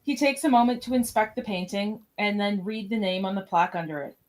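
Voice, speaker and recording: A woman narrates an amateur radio drama from a script. Her voice sits at an average pitch for a woman as she reads the scene directions. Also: a little fast. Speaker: narrator of a podcast radio play.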